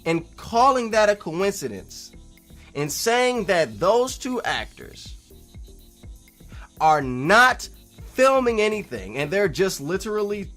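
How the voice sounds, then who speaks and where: A man hosting a casual, opinionated video reply, speaking emphatically and repeating phrases for stress, moving between loud insistence and quieter asides. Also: sits high, sing-song, slow pace, faint music behind. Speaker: video host replying to a viewer comment. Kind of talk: casual opinionated commentary to camera.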